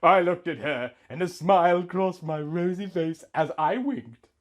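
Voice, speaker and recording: A man in his thirties reading a story aloud in a melodramatic, mock-sentimental comic style, swinging between hushed and loud. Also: high-pitched, lively intonation, a little slow. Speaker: comic host reading out fan fiction.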